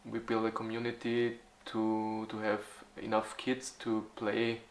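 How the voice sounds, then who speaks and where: A man in his forties from Austria, answering interview questions haltingly, with many fillers and restarts. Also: fairly low pitch, completely flat delivery, echoey room, a little hiss or hum, rough recording. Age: 40s. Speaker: youth football club organizer.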